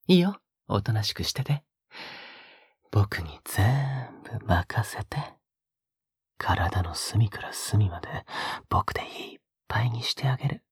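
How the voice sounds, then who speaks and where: A male actor voices a soft-spoken, possessive male captor in an intimate audio drama. His voice is extremely breathy, often sinking into a whisper. He coaxes slowly and with quiet control, recorded close and very dry. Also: lowish voice, expressive, no room sound, heavily acted. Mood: coaxing, possessive. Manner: slow, coaxing, hushed. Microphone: close, dry studio mic.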